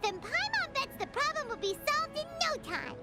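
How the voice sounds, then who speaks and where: A woman voicing a tiny, childlike fairy companion in a video game, with a squeaky, earnest, excitable delivery. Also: normal speed. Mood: eager, confident. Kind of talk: video game character acting. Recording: loud background music.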